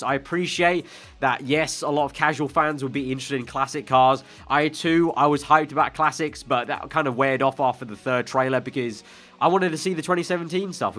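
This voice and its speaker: A man's voice: mid-range voice, a bit bright. A man giving casual, enthusiastic video game trailer commentary in an informal way, often repeating phrases for emphasis. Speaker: gaming video host.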